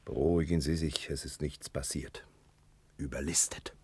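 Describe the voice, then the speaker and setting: An actor playing a male private detective, bossy, excitable and falsely soothing, in a 1960 German radio drama. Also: a bass voice, normal speed, a little hiss or hum. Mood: curt, deflated. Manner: commanding, swinging from soothing to barked orders.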